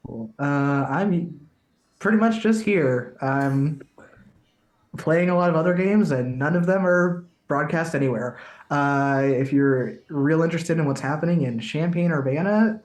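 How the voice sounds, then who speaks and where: A man's voice: mid-range voice, darkish tone. Dry male player in echoey room. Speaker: tabletop game player. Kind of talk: casual chat.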